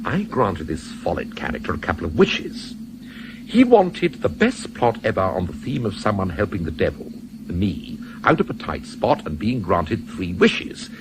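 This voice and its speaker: An actor playing an urbane, old-fashioned Satan in a radio play, politely fussy and matter-of-fact about the uncanny. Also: male voice, fairly low pitch, sing-song, moderate pace. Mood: explaining, genteel. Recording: noisy 1970s radio drama recording.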